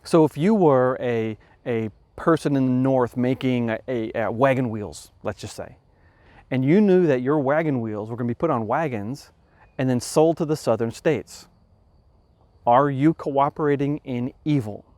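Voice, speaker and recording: A man hosting a podcast, talking through a moral question in a thoughtful, measured way, restarting phrases as he reasons aloud. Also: mid-range voice, a little mellow, no room sound. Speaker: podcast host. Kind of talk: explanatory talk on ethics.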